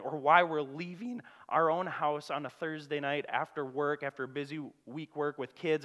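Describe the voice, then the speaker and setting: A man preaching as a pastor, his voice cracking as he loses it, moving from a soft, slow prayer to lively questions to the congregation. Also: fairly high pitch. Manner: prays softly and slowly, then preaches with rising energy and questions. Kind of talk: sermon with spoken prayer. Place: church sanctuary. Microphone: church PA microphone. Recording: long hall reverb.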